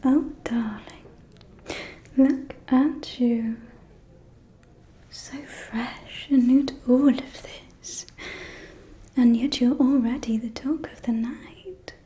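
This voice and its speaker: A woman's voice: on the high side, slow pace, pauses often, dark tone. A woman playing a gossipy, flamboyant vampire socialite in an ASMR roleplay, whispering in a coy, theatrical drawl. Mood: playful, coy.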